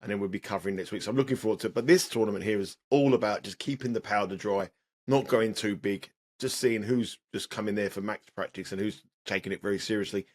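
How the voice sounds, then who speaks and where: A hoarse man, talking tennis betting as an analyst in a casual podcast chat, rambling with plenty of fillers. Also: fairly low pitch, quick pace. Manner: rambling, restarts often, many "uh" fillers. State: hoarse, voice partly lost.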